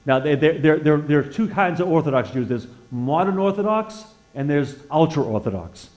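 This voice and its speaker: Halting, conversational American preacher in his sixties. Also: on the high side, animated, a little mellow. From northeastern United States. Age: 60s. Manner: halting, repeats words as he searches for them; conversational, puts questions to listeners. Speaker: guest preacher. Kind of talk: informal sermon with personal testimony. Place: church sanctuary, indoors, at a lectern.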